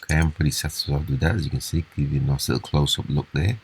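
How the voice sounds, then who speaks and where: Very deep-voiced husky man. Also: steady tone, very fast. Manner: unscripted, step-by-step explaining. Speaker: repair demonstrator. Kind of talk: casual hands-on repair walkthrough.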